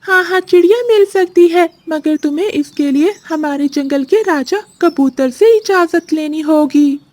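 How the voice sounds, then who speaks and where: An eager, helpful male parrot from a children's cartoon, put on by a woman. The voice is pitched way up and rings out loudly. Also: a little slow, no room sound.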